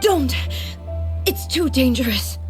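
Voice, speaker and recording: Composed warrior empress from a game, really slow delivery, music behind. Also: female voice, sits high, lively intonation. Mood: alarmed. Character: composed, stoic female ruler and warrior. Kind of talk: video game voice acting.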